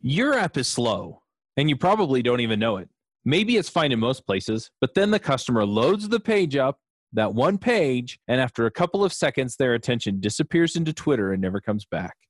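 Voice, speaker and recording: A man reading a sponsor advertisement in a conversational, pitching manner. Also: very animated, normal speed, no room sound, clean studio sound.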